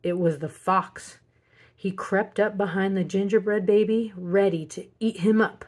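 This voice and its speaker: Woman, medium-pitched voice, storybook reader, expressive narration for children. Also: measured pace.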